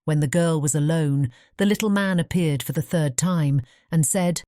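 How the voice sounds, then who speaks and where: A woman's voice: deep voice, normal speed, mellow, no echo, clean studio sound. A woman with a British English accent reading scripted fairy tale narration as an audiobook narrator.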